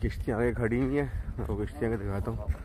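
A man's voice: mid-range voice, fairly even intonation, racing. A man in his thirties with a Pakistani Urdu accent, chatting to his phone at arm's length outdoors on a beach and a boat. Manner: chatty, showing things to the camera. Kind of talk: casual selfie narration. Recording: engine noise behind.

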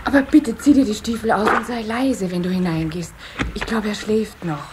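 A woman playing a caring water-sprite mother in a children's audio play, careful and gently scolding. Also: mid-range voice, moderate pace, very noisy, natural voice. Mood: hushed, happy.

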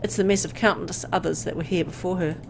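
A woman reading a visual novel aloud as dramatic scripted narration, with an expressive storytelling delivery. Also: on the low side, fast talker, gravelly, noisy background.

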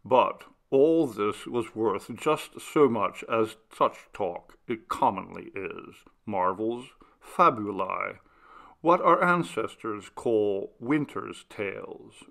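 A man narrating a literary story from a script in a measured, formal storytelling manner. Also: on the low side, creaky, sharp tone. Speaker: audiobook narrator.

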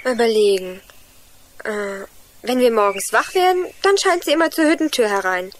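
A woman's voice: on the high side, lively intonation, moderate pace, background noise, natural voice. A woman playing an earnest young girl in a children's radio play, telling things in an eager, explanatory way. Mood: determined. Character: Juliane, earnest young girl lost in forest.

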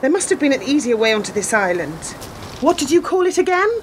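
A woman's voice: high-pitched, very animated, fast, a little hiss or hum, rough recording. A woman playing a sceptical, dry-witted woman in a radio play, full of questions. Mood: wry, complaining.

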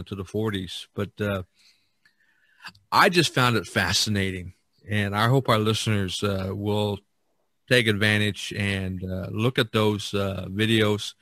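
Low-voiced man chatting on a podcast. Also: fairly even intonation, moderate pace, fairly bright tone, no echo. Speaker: podcast host. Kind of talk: casual discussion.

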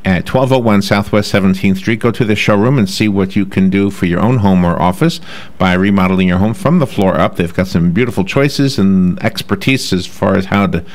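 A chatty male radio host with a New York accent, speaking into a studio desk mic. His voice sits low and he laughs easily between points. The talk stays loose and conversational. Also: brisk. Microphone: desk microphone.

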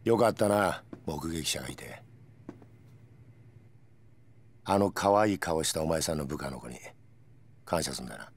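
An actor playing a gruff, menacing middle-aged police detective in a video game cutscene, speaking in a rough, blunt way. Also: male voice, a bass voice, fairly quick. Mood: sardonic.

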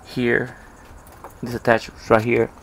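A man's voice: medium pitch, completely flat delivery, takes it slowly, fairly bright tone, slight background noise. A man giving a casual step-by-step how-to on his boat outdoors, talking plainly with fillers. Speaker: DIY boat video host.

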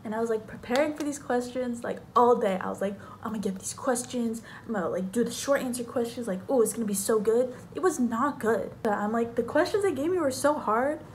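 A woman's voice: fairly high pitch, brisk, hardly any pauses, a little hiss or hum. Bubbly young woman vlogging to her phone. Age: young adult. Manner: bubbly, chatty, lots of fillers, laughs often. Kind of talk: casual vlog chat. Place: small bedroom, then parked car. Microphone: phone camera at arm's length.

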